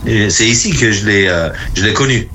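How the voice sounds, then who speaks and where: A man in his sixties with a bright, forward voice chats as an interview guest. He tells his stories haltingly, doubling back on phrases, with faint noise behind him. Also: fairly low pitch. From France. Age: 60s. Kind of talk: casual personal storytelling.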